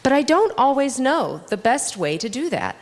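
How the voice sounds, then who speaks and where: A woman's voice: sits high, big pitch swings, normal speed, pitched above the performer's own voice, heavily acted. A woman voicing an earnest, questioning, kind young boy in a children's story, in an echoey hall. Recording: echoey hall with long reverb.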